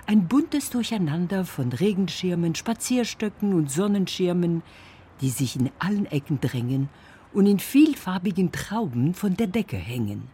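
A woman narrating a scripted radio feature in an expressive, storytelling manner. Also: on the low side, normal speed, slightly rough.

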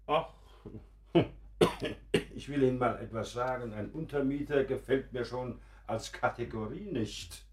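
A man's voice: mid-range voice, a little slow, darkish tone, roomy sound, natural voice. A man playing an old, bronchial professor in a radio play, courteous but dryly grumpy and pedantic. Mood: dryly grumbling. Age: older. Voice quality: bronchial, coughing old man's voice. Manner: courteous, dry, gruffly pedantic. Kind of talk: German radio play acting.

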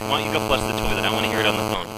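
A man making a prank phone call as a hotel worker, deadpan and mock-helpful, sometimes turning indignant. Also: fairly low pitch, rapid-fire. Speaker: prank caller posing as hotel housekeeping. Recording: noisy phone line.

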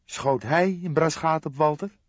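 A man voicing an eager younger male police detective in an audiobook, excitable, insistent and incredulous in his questioning. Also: fairly high pitch, a little slow, pitched above the performer's own voice. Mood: surprised, eager. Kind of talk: audiobook character dialogue. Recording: dull lo-fi audiobook, roomy echo.

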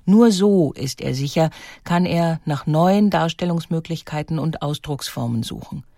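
A woman's voice: low voice, unhurried, creaky, dark tone, dry recording, clean studio sound. A woman reading scripted documentary narration in an articulate, composed manner.

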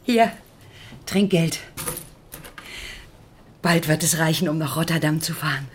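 A woman's voice: sits low, measured pace, a little hiss or hum. A woman playing a boy's protective, emotional mother in a radio drama. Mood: affectionate.

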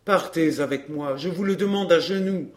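A man acts out a smooth, scheming gentleman suitor from an audiobook drama. His voice sits a little high for a man, and his speech is formal, theatrical and full of passion. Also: normal speed, lightly acted. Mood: urgent pleading. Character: Georges, suave scheming suitor, pleading then violent.

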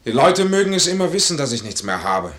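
An actor playing a proud, honorable army major in a 1950s German radio play, with formal, emphatic stage diction. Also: male voice, a little high, sing-song, quick pace, fairly bright tone. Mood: stern, proud.

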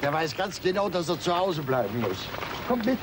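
Young radio play character, high voice, very animated, fondly scolding, noisy background. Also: male voice, moderate pace. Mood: exasperated. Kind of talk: German children's radio play acting.

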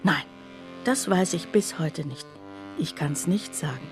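An actress playing a troubled factory worker, telling her story in a soft, husky, halting and confiding radio drama monologue. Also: low-pitched, music in the background. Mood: bewildered, dismayed. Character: troubled female factory worker recounting her life, bewildered, plainspoken.

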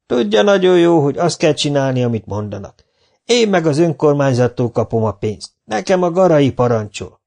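A man's voice: on the high side, sing-song, gravelly, a little mellow, voice pushed up. A man voicing a sullen young worker who grumbles back at his old teacher. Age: young adult. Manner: grumbling, dismissive. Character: sullen short young worker, shaggy-haired.

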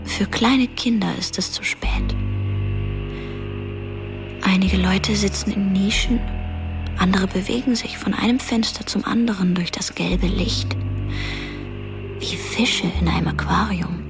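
A quiet female narrator with a mid-pitched, hushed voice close to a whisper. She reads scripted radio drama prose with clear music behind her. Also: huge pitch swings, unhurried, pauses often. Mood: quiet, melancholy.

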